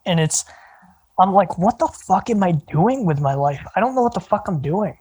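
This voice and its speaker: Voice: medium pitch, expressive, normal speed, mellow, slightly rough. A podcast host in their twenties, talking loosely in casual chat, swearing and laughing often. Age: 20s.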